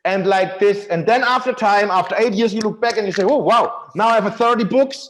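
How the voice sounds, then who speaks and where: A man's voice: sits high, a little fast. A man with a German accent, a guest author on a podcast, telling his story earnestly and with enthusiasm. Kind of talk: podcast interview.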